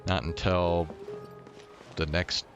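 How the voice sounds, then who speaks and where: A man's voice: low-pitched, little pitch movement, slow, muffled recording. A man chatting casually to viewers as a game streamer, musing hesitantly and trailing off. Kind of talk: casual livestream chat to viewers. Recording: game music behind the voice. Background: video game music.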